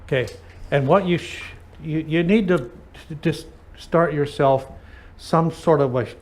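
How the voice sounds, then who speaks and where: A man talking conversationally in an aviation lesson, feeling out his phrases haltingly as he speaks. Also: on the high side.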